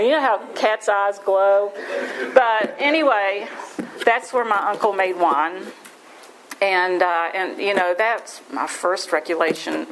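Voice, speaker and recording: A woman's voice: on the low side, a little hiss or hum, rough recording. Rambling West Virginian woman sharing her story. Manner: rambling, folksy, self-deprecating, frequent restarts. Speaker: speaker at a recovery meeting. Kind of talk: personal recovery share.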